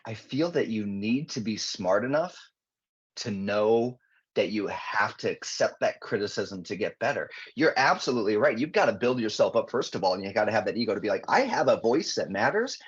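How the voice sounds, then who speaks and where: Male guest author, earnest and animated, husky tone, dull lo-fi sound. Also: mid-range voice. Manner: earnest, animated, frequent restarts. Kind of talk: podcast interview answers. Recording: dull lo-fi audio.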